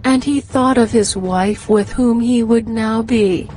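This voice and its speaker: A woman's voice: on the high side, slow, a little mellow. A woman narrating a scripted fairy tale as a storyteller.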